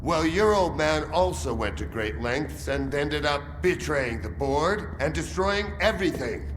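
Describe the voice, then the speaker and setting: An actor playing an abrasive, furious military colonel in a video game cutscene, profane and full of contempt. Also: male voice, a little high, expressive, measured pace, slight background noise, poor audio. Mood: scornful.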